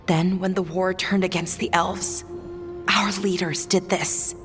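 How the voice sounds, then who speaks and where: A woman's voice: lowish voice, little pitch movement, a little slow, music in the background, lightly acted. A woman voicing an earnest, knowledgeable elf in a fantasy video game cutscene. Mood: earnest, troubled.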